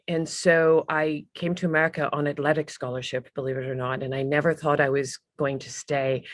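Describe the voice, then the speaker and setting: A middle-aged woman from Ireland, a guest telling her career story in a casual, animated way on a video call. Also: low-pitched, no echo, studio quality. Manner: lively, animated storyteller with frequent ums and restarts. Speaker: guest, business executive. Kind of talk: casual interview talk.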